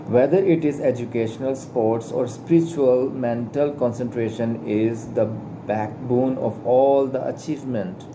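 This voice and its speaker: A man whose first language is not English reads aloud from a spiritual book at a slow, deliberate pace. He stumbles and repeats words as he works through the text. A clear air-conditioner hum runs behind his voice. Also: mid-range voice, fairly even intonation. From Pakistan. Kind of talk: slow careful reading aloud.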